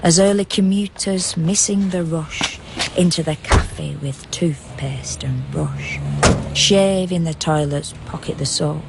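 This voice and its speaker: Tender spectral young woman, creaky low voice, unhurried lyrical narration, poetic radio play. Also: very noisy, heavily acted. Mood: lyrical, observant. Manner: slow, lyrical, incantatory verse.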